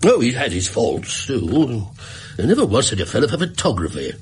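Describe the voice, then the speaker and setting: An actor playing a fussy, gullible middle-aged pawnbroker in a radio drama, rambling and deferential. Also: male voice, mid-range voice, wildly swooping pitch, normal speed. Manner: rambling, hesitant, chuckling, deferential. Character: fussy, garrulous, gullible widowed London pawnbroker. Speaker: client telling his story. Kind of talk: British radio drama acting.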